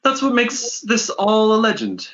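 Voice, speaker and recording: A man's voice: high-pitched, huge pitch swings, measured pace, a bit bright, pitched above the performer's own voice, heavily acted. A man voicing a scheming informant and crooked dealer in a comic readalong, declamatory and menacing, in slightly dull audio. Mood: grandly mysterious.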